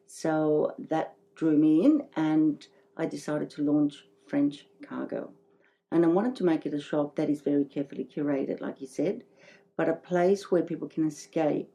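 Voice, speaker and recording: Give this voice to a middle-aged woman with a low pitch and a mellow, slightly gravelly tone. She is an interview guest who chats with enthusiasm about her own work, in a casual, conversational way. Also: low-quality recording. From Greece, living in Australia. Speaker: interview guest, boutique shop owner.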